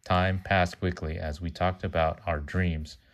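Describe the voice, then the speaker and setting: Very low-voiced man reading a children's story aloud. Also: level tone, a little slow, a little hiss or hum. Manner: stumbles over words and corrects himself. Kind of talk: read-aloud children's story with chatty asides.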